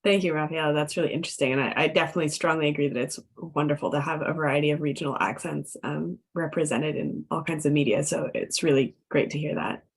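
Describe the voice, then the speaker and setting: A woman asking a question in a webinar, thoughtful and hesitant, with many pauses and fillers. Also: low voice, husky. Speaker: audience member asking a question.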